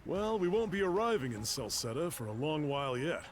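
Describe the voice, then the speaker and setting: A man's voice: on the high side, big pitch swings, a little fast, faint music behind. A man voicing a cheerful, easygoing adventurer and loyal travel companion in a video game, breezy and teasing in manner.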